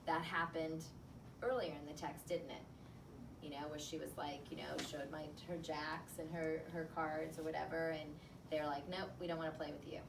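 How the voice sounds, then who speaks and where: A woman with a lowish voice reads a children's story as a teacher would, drifting into chatty, filler-sprinkled reflection. She is picked up from a distance in an echoey room, with clear noise behind her. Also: little pitch movement, moderate pace. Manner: conversational, thinking out loud with filler words. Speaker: teacher reading aloud to a class. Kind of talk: picture book read-aloud with think-aloud commentary. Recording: roomy, distant mic, clear background noise.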